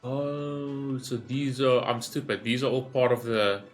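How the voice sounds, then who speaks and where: A man's voice: medium pitch, level tone, unhurried, faint music behind. A man giving casual gameplay commentary, rambling as he thinks aloud and swearing freely. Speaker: gaming streamer recording a reaction video.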